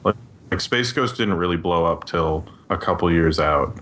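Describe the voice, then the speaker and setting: A man's voice: moderate pace, fairly bright tone. A man chatting casually as a podcast co-host, rambling and often restarting his phrases. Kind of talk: casual chat about old TV cartoons. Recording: audible low rumbling background noise.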